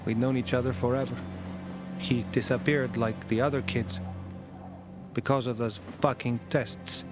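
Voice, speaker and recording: An actor playing a curious, soft-hearted young son in a video game, speaking earnestly and a little hesitantly. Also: male voice, mid-range voice, little pitch movement, normal speed, music in the background, natural voice. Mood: wistful. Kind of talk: naturalistic video game dialogue.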